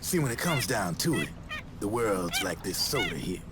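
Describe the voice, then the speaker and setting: Low-voiced, slick streetwise arms dealer. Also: male voice, sing-song, moderate pace. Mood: cool, cynical. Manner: slangy, smooth-talking, casual swagger. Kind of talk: dramatic video game voice acting. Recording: clear background noise and ambience.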